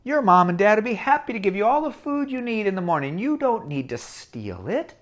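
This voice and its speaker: A man voicing a gentle inner voice of conscience, speaking soft, slow and coaxing. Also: sits high, sing-song.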